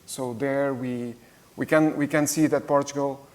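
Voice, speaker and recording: A man speaking carefully as a conference panelist, pausing and restarting often. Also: mid-range voice, steady tone, a little mellow. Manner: hesitant, careful, many restarts.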